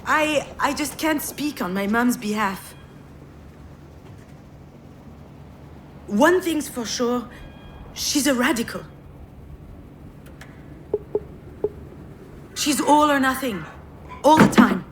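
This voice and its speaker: A troubled, wry daughter, pitch swinging expressively. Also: female voice, expressive, a little slow, a little hiss or hum. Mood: anguished, defensive. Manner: hesitant, wry, emotionally strained. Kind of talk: naturalistic visual novel voice acting, introspective narration and dialogue.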